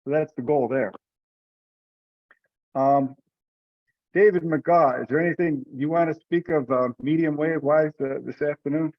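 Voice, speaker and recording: A man chairing an informal online meeting in a relaxed, colloquial way. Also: on the high side, measured pace, long pauses, no room sound. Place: online video conference call. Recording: dull, lo-fi call audio.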